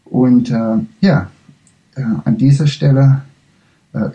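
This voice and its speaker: A man whose first language is English, closing a spiritual lecture in halting German with many restarts. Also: medium pitch, fairly even intonation, mellow, highs cut off.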